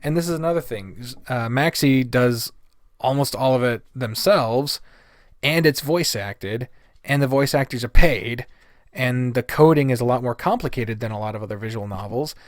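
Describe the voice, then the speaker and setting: A man's voice: medium pitch, moderate pace, no room sound, clean studio sound. A man giving a casual, opinionated review rant in a blunt, exasperated, swear-heavy way. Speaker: video host.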